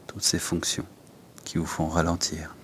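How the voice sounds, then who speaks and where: A man with a low-pitched voice teaches yoga and breathwork. He gives short, unhurried instructions and leaves pauses between them. A faint low rumble runs behind his voice. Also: monotone, fairly bright tone.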